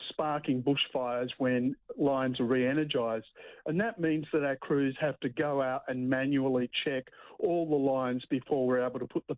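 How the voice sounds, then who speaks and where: A man answering radio interview questions haltingly as a company spokesman over a phone line. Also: mid-range voice, no echo. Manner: halting, with frequent repeated words. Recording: thin, band-limited phone line.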